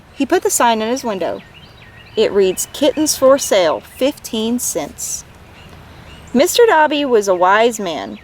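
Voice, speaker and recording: A woman reading a storybook aloud to children in an expressive, gentle way, as a read-aloud host. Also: on the high side, a little hiss or hum.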